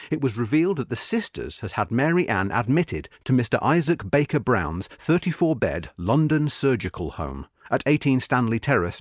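A man reading a history article as scripted narration, in a measured, formal, carefully phrased way. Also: mid-range voice, no echo, studio quality.

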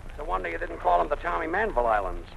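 A man playing a deadpan wry husband. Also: on the high side, racing, creaky, full character voice. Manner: dry, hesitant, deadpan. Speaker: comedian. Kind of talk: 1940s radio comedy acting. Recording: dull old radio recording, loud hiss.

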